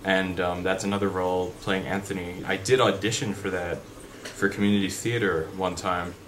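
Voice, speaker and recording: A young man with a deep voice chatting unscripted in a vlog, rambling with fillers and restarts. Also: fairly even intonation, normal speed, fairly bright tone, low-quality recording. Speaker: vlogger. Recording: background noise.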